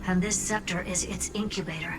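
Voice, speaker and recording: A woman's voice: on the low side, steady tone, a little fast, natural voice, poor audio. An actress playing a confident, teasing woman genius in a video game, speaking with wry self-assurance. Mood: grave, emphatic. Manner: wry, self-assured, talking down playfully to the listener. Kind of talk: video game dialogue voice acting. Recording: loud music bed under voice. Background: background music.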